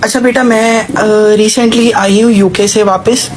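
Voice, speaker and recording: A woman's voice: on the high side, fairly even intonation, slow, noisy background. A woman playing a persuasive, well-off mother seeking a bride for her son on a prank call, mixing in English words. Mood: polite, coaxing. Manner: auntie-like, persuasive, addresses listener as child, English words mixed in. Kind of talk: acted prank phone call.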